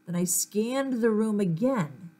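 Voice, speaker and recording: A woman reading a children's book aloud as an expressive narrator. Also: medium pitch, takes it slowly. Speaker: story reader.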